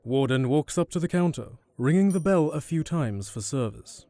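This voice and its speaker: A man's voice: a little high, lively intonation, normal speed, dark tone. A man narrating a scripted fantasy story in the third person as the narrator of an audio drama.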